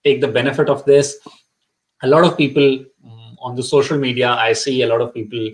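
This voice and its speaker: A man's voice: mid-range voice, fairly even intonation, fairly quick, poor audio. A middle-aged man whose first language is Urdu, giving earnest advice on immigration in a live session, with many fillers and repeated words. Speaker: immigration consultant.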